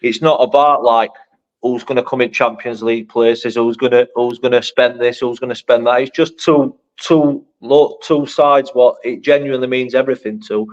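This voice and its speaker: A man's voice: medium pitch, steady tone, normal speed, lo-fi sound. A man, co-hosting a casual fan podcast, rambling and often saying "you know what I mean". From Sheffield, England. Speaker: podcast co-host. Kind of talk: casual chat.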